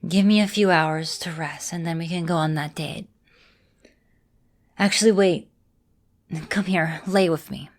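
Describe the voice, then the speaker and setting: Casting: actress as affectionate female vampire mentor for ASMR roleplay, lowish voice, gentle and reassuring with a teasing edge. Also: steady tone, measured pace, pauses often, close to an everyday voice. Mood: tired, affectionate. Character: affectionate centuries-old female vampire mistress, encouraging mentor.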